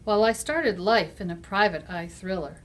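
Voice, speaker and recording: A woman's voice: medium pitch, moderate pace. A female voice reciting rhymed verse aloud as a reader in a recital. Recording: faint room noise.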